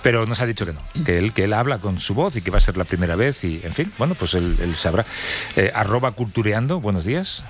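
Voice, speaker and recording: A man hosting a morning radio talk show, lively and joking as he leads the conversation. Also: lowish voice, quick pace, faint music behind. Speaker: radio host.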